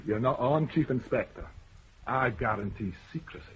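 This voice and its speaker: A man's voice: medium pitch, animated, normal speed, close to an everyday voice. A man playing a wary, evasive moneylender, curt and defensive, in a radio crime drama. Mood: evasive, dismissive. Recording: dull, noisy old radio broadcast recording.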